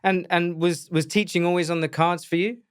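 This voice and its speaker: A man's voice: sits high, flat delivery, brisk, smooth. Earnest male podcast host. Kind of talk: scripted podcast intro, then interview.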